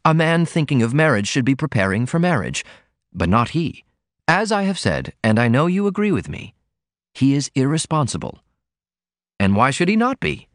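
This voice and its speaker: A man reading aloud, voicing a proper middle-aged mother who speaks in measured, disapproving tones. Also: mid-range voice, sing-song, no echo, studio quality, pitched above the performer's own voice, natural voice. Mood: stern.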